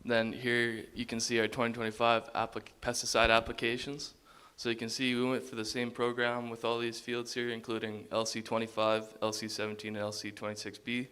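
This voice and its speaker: A young man from Saskatchewan, presenting a class talk in a steady, list-like way. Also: mid-range voice, fairly quick, smooth. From Saskatchewan, Canada. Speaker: college student presenter.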